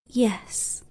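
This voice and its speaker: A woman's voice: dark tone, no echo. A woman playing a troubled teenage girl in a staged drama, sullen, hesitant and defensive. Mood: frightened, anguished.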